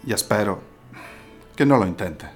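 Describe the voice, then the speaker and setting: A man playing a kindly, fatherly army officer in a historical audio drama, formal and gentle. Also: lowish voice, expressive, normal speed, faint music behind, lightly acted. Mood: paternal concern.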